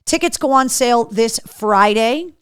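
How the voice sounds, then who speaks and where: A middle-aged radio host woman, chatty and upbeat, sprinkling ums through her announcements. Her voice is deep and worn-in from years on air, yet smooth and clean. It sounds close and dry on a studio mic. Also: animated, measured pace, fairly bright tone, no room sound. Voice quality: deeper, worn-in voice from years of talking. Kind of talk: casual live-show announcements.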